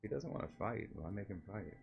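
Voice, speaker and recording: A man's voice: fairly low pitch, lively intonation, fairly quick, gravelly, muffled recording, faint music behind. A man giving casual commentary as he streams his playthrough of a game.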